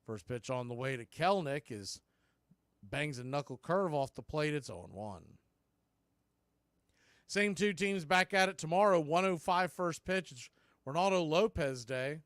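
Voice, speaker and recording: A man hosting a baseball broadcast, giving play-by-play commentary in clipped phrases with deliberate pauses. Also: on the high side, animated, a bit bright, no echo. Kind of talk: live play-by-play commentary mixed with history recap.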